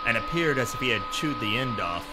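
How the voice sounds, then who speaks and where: A man playing a self-important adventurer, narrating in a deadpan, melodramatic parody of a pulp radio serial. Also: medium pitch, brisk, lightly acted. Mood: ominous, pompous. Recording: reverberant voice under loud music.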